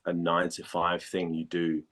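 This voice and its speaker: A low-pitched male voice from Denmark in relaxed conversation as an interview guest. He talks informally, feeling his way through sentences in a hesitant, self-deprecating way. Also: normal speed. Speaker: interview guest, voice actor and former model.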